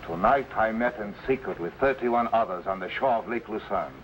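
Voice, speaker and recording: An actor playing an anxious, loyal Swiss conspirator in an old-time adventure serial, with urgent, archaic formal speech. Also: male voice, fairly low pitch, a little fast, dull audio, lightly acted. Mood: grave, worried. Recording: slightly noisy vintage broadcast recording.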